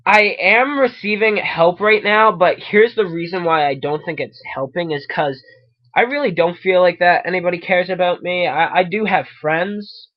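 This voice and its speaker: A man's voice: sits high, moderate pace, close to an everyday voice. A man playing a troubled, self-pitying addict on a prank hotline call, stammering and rambling, in a muffled recording. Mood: self-pitying, halting.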